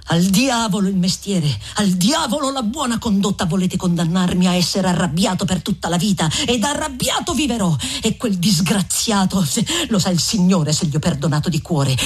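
A woman's voice: on the low side, slightly rough, voice pushed down. A woman reading an audiobook voices a young male peasant who talks in a hurt, indignant, insistent way. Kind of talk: audiobook reading of a classic novel.

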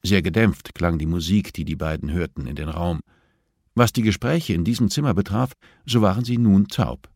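Casting: male narrator for thriller audiobook, low gravelly register, very dry studio sound. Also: moderate pace, a little mellow, dry recording.

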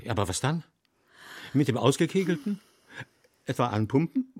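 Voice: medium pitch, big pitch swings, normal speed, a little mellow, no echo. Eine Stimme spielt in einem absurden Hörspiel eine verdatterte, zögernde Figur, die stammelnd Unsinnswörter hervorbringt. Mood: flustered. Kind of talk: experimental absurdist German radio play, sound-poetry recitation.

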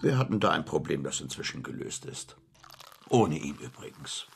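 A man acting a powerful, manipulative middle-aged businessman in a German radio drama, measured, insinuating and condescending. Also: a bass voice, very animated, gravelly, music in the background, heavily acted. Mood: calculating.

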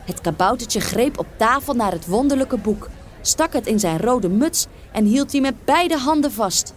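A woman reading a fairy tale as an expressive storyteller, with dramatic, deliberate phrasing. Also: fairly high pitch.

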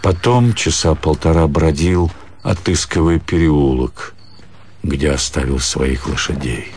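A man narrating a literary radio play in a measured, expressive, dramatic storytelling manner. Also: very deep voice, a little hiss or hum.

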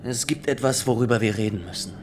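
An actor playing a stiff, suspicious inspector in a radio play, speaking formally and with control. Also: male voice, medium pitch, fast talker, a little hiss or hum, natural voice. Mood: stern, controlled. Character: stiff, suspicious young male inspector of a totalitarian regime.

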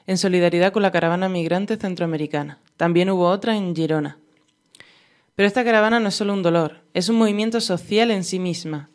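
Low-voiced woman reading radio commentary. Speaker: radio host. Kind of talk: read commentary.

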